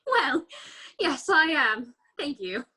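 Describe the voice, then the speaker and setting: Woman as a prim, bright-voiced talking flower. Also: high voice, big pitch swings, takes it slowly, exaggerated voice. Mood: self-satisfied. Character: prim, self-satisfied talking garden flower, female. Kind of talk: children's readers' theater read-aloud.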